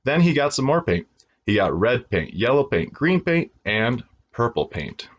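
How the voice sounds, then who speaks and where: A man reading a children's storybook aloud in an expressive storytelling style for young listeners. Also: medium pitch, measured pace, dull audio.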